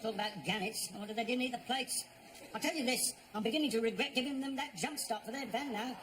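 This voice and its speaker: An actor playing a grumpy, sarcastic husband who grumbles at the football on TV. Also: male voice, very high voice, fast. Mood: grumbling. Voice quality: grumbling, sarcastic, shouts at the telly. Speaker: neighbour watching football on TV. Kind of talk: sitcom acting. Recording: noisy broadcast sound.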